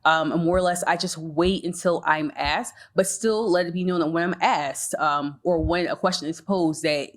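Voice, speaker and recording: Low, calm woman panelist. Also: normal speed, hardly any pauses. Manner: calm, steady, many ums. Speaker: panelist, agency leader. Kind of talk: panel discussion.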